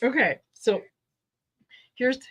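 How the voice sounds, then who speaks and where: Slow-talking woman tarot show host. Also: a little high, expressive, fairly bright tone, breathy edge. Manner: chatty, laughing, a little halting. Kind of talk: casual chat.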